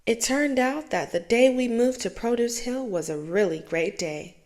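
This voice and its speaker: A young woman host reading a children's book aloud with expressive, animated storytelling. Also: on the high side, moderate pace.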